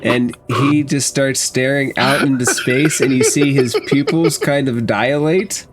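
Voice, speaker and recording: A man's voice: medium pitch, measured pace. A man narrating a tabletop game casually as game master.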